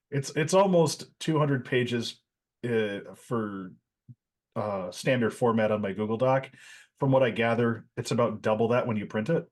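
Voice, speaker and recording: A man's voice: mid-range voice, slow pace. A man hosting a podcast, chatting casually with his co-hosts in an easygoing way. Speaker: podcast host.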